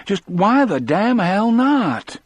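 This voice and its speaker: A man's voice: high voice, very animated, slow, no echo, pitched above the performer's own voice, heavily acted. A man voicing a fierce, determined and smart wife in an audiobook, emphatic and indignant. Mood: tearful, angry.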